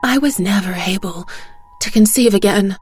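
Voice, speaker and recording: Woman acting grieving wounded woman, raw voice, raised pitch, louder, audio drama. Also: normal speed, faint music behind, natural voice. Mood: anguished. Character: grieving, battered woman confessing her loss.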